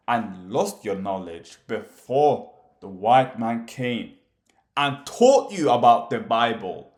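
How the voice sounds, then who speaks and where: A man's voice: medium pitch, roomy sound. A Somali man, whose first language is Somali, speaking straight to the camera in a challenging, questioning way, pressing his points with emphasis. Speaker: commentator. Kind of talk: direct-address monologue.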